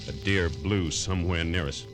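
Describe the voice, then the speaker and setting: A man's voice: deep voice, moderate pace, music in the background, natural voice. An actor playing an uneasy, suspicious widower in an old-time radio thriller, tense and hesitant, brooding as he narrates.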